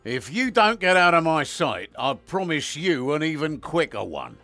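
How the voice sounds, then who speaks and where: An actor playing a brash hunter sidekick in a fantasy video game, blunt and sardonic with a gravelly edge. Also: male voice, on the high side, sing-song, moderate pace, pushed and bright, faint music behind, heavily acted. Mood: taunting. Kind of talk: dramatic video game acting.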